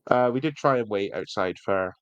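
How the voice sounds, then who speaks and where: A man's voice: lowish voice, moderate pace, clear, resonant tone, no echo, muffled recording. A man hosting a podcast, chatting casually and enthusiastically through a review with hesitant phrasing. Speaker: podcast host reviewing audio drama.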